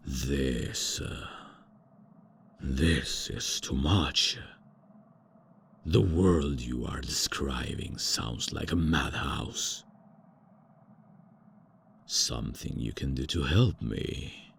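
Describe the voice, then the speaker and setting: A man's voice: rumbling low, extremely slow, creaky, pauses often, a bit bright, a little hiss or hum, heavily acted. A man voicing a haughty, out-of-touch ancient male vampire lord in a comedy ASMR roleplay, with a pompous, theatrical manner. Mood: indignant.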